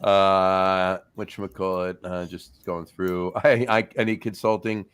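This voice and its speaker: Jokey low-voiced New co-host. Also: male voice, little pitch movement, measured pace, a bit bright, clean tone. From North Jersey, US. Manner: jokey, reads out viewer comments. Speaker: show co-host. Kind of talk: casual podcast chat.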